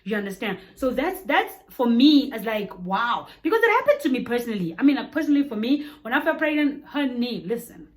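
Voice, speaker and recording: A young woman from Congo with a Congolese accent talks to her viewers in a loose, lively vlog. Her voice sits a little high, and she laughs easily and slips into playful mimicked voices. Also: brisk, few pauses. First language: Kikongo-speaking family; Swahili. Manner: animated, chatty, breaks into laughter and mimicked voices. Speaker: vlogger. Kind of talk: casual chat to camera.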